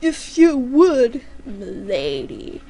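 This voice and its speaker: A woman's voice: high-pitched, big pitch swings, drawn-out words, warm tone, exaggerated voice. A woman voicing a pompous, melodramatic young male villain pony captain with a grandiose, growling delivery. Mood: menacing, growling. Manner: grandiose, melodramatic, archaic wording.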